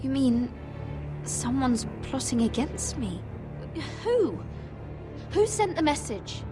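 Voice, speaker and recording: An anxious young noblewoman with a high, lively voice that moves slowly and haltingly. Game music plays beneath her lines. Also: heavily acted. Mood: alarmed. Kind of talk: video game voice acting. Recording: game audio with music underneath.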